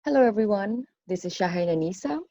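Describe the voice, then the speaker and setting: A woman's voice: medium pitch, animated, fast, mellow, dry recording. A woman in her thirties from Bangladesh, working as a university lecturer, introducing her course fluently. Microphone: earphone headset. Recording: dull, dry headset sound.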